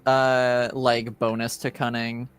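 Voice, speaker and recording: A man's voice: medium pitch, flat delivery, slow, smooth, no room sound. A man acting as game master, casually talking players through rulings and what their characters know.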